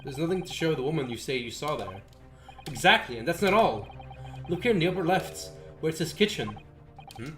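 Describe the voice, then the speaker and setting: A male streamer with a slightly high voice reads visual novel dialogue aloud. His delivery is theatrical, acting out the characters, and game music plays faintly behind him. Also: big pitch swings, a little fast, a bit bright.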